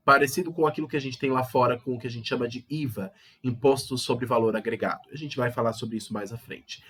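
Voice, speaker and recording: A Brazilian man teaching law in an online video lesson, hoarse from a cold, explaining step by step and repeating key terms with rising emphasis. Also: mid-range voice, fairly bright tone. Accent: Brazilian Portuguese.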